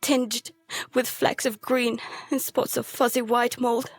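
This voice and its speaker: An actress playing a terrified girl who narrates a survival horror audio drama in halting, fragmented phrases. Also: a little high, little pitch movement, breathy edge, exaggerated voice. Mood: tense dread. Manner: halting, fragmented, hushed dread breaking into pleading.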